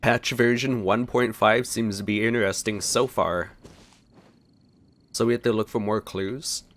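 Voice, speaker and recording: A man's voice: lowish voice, steady tone, a little slow, a bit bright, faint music behind. A man giving casual, offhand let's play commentary on a video game in a laid-back manner. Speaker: gaming video creator.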